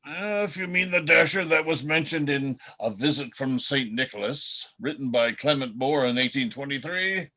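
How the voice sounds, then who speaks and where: A man acts out an aged, retired reindeer in a radio-style drama. He sounds bright and medium-pitched, with a wry, good-natured weariness and an easy conversational manner. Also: normal speed, hardly any pauses. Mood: wry, tired. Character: weary, elderly retired male reindeer, good-humored. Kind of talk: radio-play style readers theatre acting.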